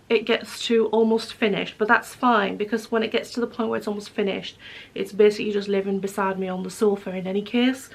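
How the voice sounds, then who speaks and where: A woman vlogging about knitting and sewing at home, her voice hoarse and croaky from a cold, rambling with lots of ums and self-corrections. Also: medium pitch. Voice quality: croaky, husky. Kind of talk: casual vlog chat. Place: home living room with wood stove.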